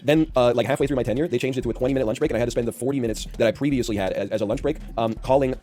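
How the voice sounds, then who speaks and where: A man in his early thirties rattles off rambling stories at a very rapid clip, his deep voice padded with constant "like"s. He hosts a casual game stream, his tone dry and unexcited, and his pitch sits in an ordinary middle range. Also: warm tone, a little hiss or hum. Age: early 30s. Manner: rambling, talky, heavy on filler "like"s; dry, unenthusiastic delivery. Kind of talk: casual gaming chat and storytelling.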